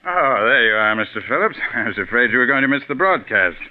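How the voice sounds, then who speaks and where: An actor playing a jovial, fawning sponsor in a 1940s radio mystery, effusive in manner. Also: male voice, mid-range voice, animated, fast, slight background noise, natural voice. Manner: effusive, chuckling, slightly hesitant. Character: eager, fawning, jovial businessman and programme sponsor. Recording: tinny vintage radio recording.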